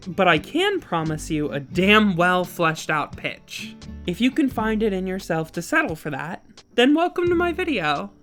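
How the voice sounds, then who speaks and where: A woman hosts a scripted video essay. Her delivery is highly animated, with playful, theatrical emphasis on key phrases. Faint music plays behind her voice. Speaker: host of a video essay channel.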